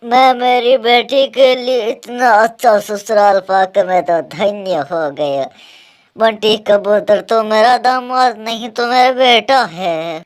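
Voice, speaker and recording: Performer as a slow, humble older bird father. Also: medium pitch, smooth, faint music behind, natural voice. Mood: grateful. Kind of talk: Hindi cartoon voice acting.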